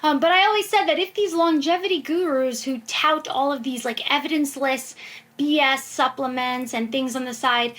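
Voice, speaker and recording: A woman's voice: high voice, moderate pace, a bit bright. An American woman, a cardiologist, explaining medicine fluently in an interview, with frequent ums. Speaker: cardiologist guest. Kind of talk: expert interview answers.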